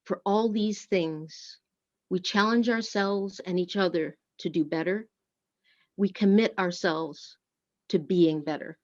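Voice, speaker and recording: A woman giving a solemn, deliberate scripted reading of poetry and litany as a worship service speaker. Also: fairly low pitch, a little mellow, muffled recording. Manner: measured, deliberate phrasing.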